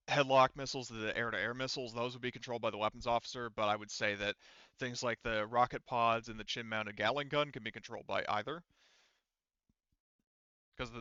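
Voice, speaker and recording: Man game-mastering, bright voice, dull audio. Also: mid-range voice, clean tone, no room sound. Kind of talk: casual running of a tabletop roleplaying game.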